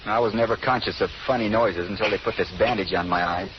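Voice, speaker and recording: An actor playing a charming, flirtatious scientist and patient who jokes and courts in vintage radio drama style. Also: male voice, on the low side, quick pace, lightly acted. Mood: playful, flirtatious. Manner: bantering, courting, playful. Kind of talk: 1930s-40s radio drama acting. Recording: dull, noisy old radio broadcast.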